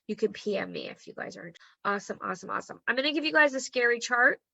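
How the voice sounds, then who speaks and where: Woman teaching a group online, voice kinda worn out, bright and a bit high, dry muffled sound, keeps saying okay?. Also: expressive, quick pace, creaky, no echo. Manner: chatty and encouraging, frequent "okay?" check-ins. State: losing her voice. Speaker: human design teacher.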